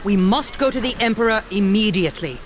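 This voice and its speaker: An actress playing a cunning middle-aged witch and mother in a video game, speaking in a measured, authoritative way. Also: mid-range voice, expressive, noisy background, natural voice. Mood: commanding. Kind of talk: video game cutscene acting. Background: game music.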